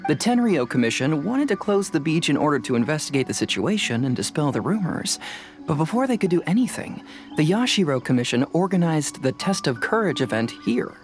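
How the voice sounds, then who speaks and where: A woman playing a composed, analytical investigator in a fantasy game, explaining things in a measured, self-assured way. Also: deep voice, big pitch swings, faint music behind. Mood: explanatory, assured. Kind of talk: animated game dialogue acting.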